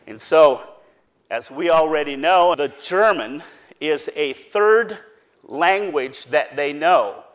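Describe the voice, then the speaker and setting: A man's voice: fairly high pitch, animated, slow pace. A man whose first language is Pennsylvania Dutch, giving an earnest, plain-spoken talk and explaining step by step. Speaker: speaker giving a presentation.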